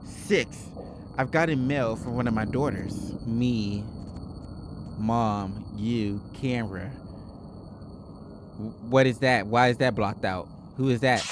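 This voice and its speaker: Man, mid-pitched voice, slangy reaction host, reading aloud with informal asides, loud noise behind. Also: slow pace, pauses often. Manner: slangy, informal, exclaims between read lines. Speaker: host of a horror reaction video. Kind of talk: casual reaction commentary mixed with reading on-screen text aloud.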